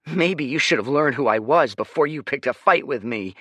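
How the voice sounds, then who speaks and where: A man's voice: lively intonation, normal speed, a bit bright, no echo. A man voicing a pompous, whiny young noble boy who yelps and snivels. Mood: indignant. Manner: shrill, yelping and sniveling.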